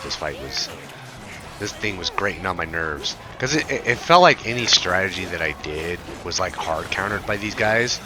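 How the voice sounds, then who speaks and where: A man with a voice raspy from a cold, rambling in a frustrated gameplay commentary rant with many fillers. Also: low voice, noisy background. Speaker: gaming video creator.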